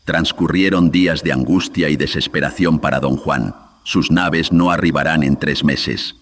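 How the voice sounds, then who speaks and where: A man's voice: rumbling low, normal speed, slight background noise. A man narrating a scripted horror radio drama with solemn, dramatic storytelling.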